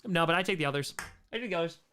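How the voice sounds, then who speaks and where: An American man hosting a casual livestream Q&A, chatting in an animated way and repeating short phrases for emphasis. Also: fairly high pitch, very fast, slight background noise. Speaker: livestream host answering chat questions.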